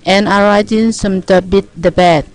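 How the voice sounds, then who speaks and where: A woman from Vietnam with a Vietnamese accent, an adult English learner speaking haltingly and searching for words. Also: mid-range voice, fairly even intonation.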